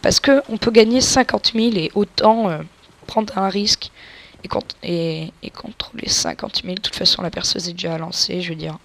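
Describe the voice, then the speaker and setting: A woman's voice: lowish voice, steady tone, moderate pace. A woman with a cold giving casual, crude, joking gameplay commentary and explaining tactics to viewers as a let's play gamer. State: has a cold.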